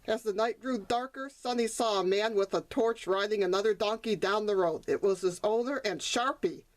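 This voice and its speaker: A woman reading a children's story aloud as its author, in expressive scripted narration. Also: medium pitch, moderate pace.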